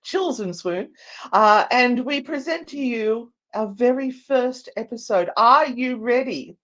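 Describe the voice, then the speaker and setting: A woman's voice: a little high, lively intonation, slow pace, a bit bright. A woman hosting a casual live stream, chatty and playful as she addresses the viewers. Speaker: show host and narrator.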